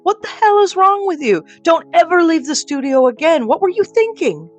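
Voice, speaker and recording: An excitable female radio story host, acted by a woman, swings freely up and down in pitch. She is bossy and exclamatory, with theatrical flourish suited to comic audio drama. Faint music plays behind her. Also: moderate pace. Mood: exasperated scolding. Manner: exclamatory, melodramatic.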